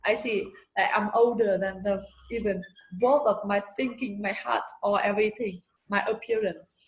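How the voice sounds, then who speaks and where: A woman's voice: mid-range voice, steady tone, moderate pace. Young woman, hesitant, lots of fillers, searching for words, echoey room. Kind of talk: casual conversation in English.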